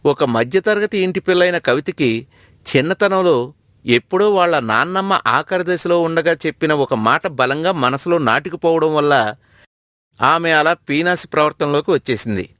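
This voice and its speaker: Guy narrating a story from a script, voice on the high side, dry sound. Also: expressive, moderate pace, a bit bright, no echo.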